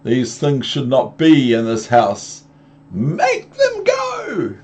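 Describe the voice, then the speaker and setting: A man's voice: mid-range voice, huge pitch swings, takes it slowly, noisy background, voice pushed up. A man with a New Zealand accent voicing an alarmed, bossy pet fish in a children's storybook, protesting urgently. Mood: panicked scolding.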